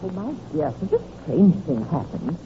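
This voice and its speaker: A woman's voice: on the low side, very animated, normal speed, warm tone. A gossip-loving landlady sharing strange news in an old radio crime drama. Her delivery swoops up and down dramatically, through a narrow, tinny recording with slight hiss. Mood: confiding, intrigued. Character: gossiping landlady telling a strange tale confidingly. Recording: tinny mid-century radio recording with noise.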